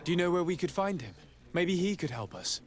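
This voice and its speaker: An actor playing a polite, composed young diplomat in a video game, speaking formally. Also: male voice, high-pitched, big pitch swings, brisk. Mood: businesslike. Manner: formal, courteous questioning. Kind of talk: video game cutscene dialogue. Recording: clear noise, outdoor ambience behind.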